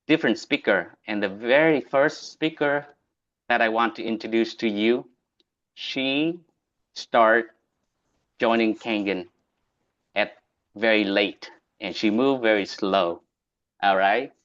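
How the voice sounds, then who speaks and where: A man with a Vietnamese accent hosting and introducing a speaker in deliberate, halting, non-native English. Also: mid-range voice, a bit bright, dull audio. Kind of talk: event introduction on a video meeting.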